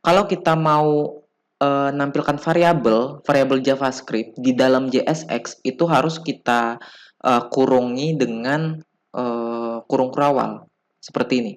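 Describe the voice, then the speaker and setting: A man with an Indonesian accent giving an informal coding tutorial as an instructor, explaining haltingly, step by step. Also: mid-range voice, steady tone. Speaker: programming instructor.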